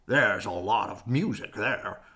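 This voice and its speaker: A man's voice: lowish voice, measured pace, bright, forceful tone. A man voicing an eager, persuasive city visitor in a storybook, speaking in an enticing, emphatic way.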